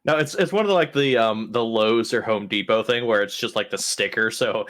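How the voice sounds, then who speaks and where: A player in a tabletop roleplaying game, improvising casual in-character dialogue in halting, hesitant phrases. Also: medium pitch, a bit bright.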